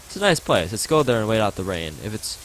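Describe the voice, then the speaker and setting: A man voicing an upbeat, decisive schoolgirl from a visual novel, chatty and quick to suggest plans. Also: lowish voice, big pitch swings, slight background noise, poor audio. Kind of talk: character dialogue read aloud from a game. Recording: loud music and rain behind the voice.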